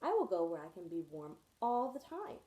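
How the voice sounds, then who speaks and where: An adventurous little male penguin who can't stand the cold, as a woman reading aloud puts him on. He sounds cheerful and determined, with a mellow, warm tone, read straight from a storybook. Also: on the low side, sing-song, normal speed. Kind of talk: children's picture book read-aloud.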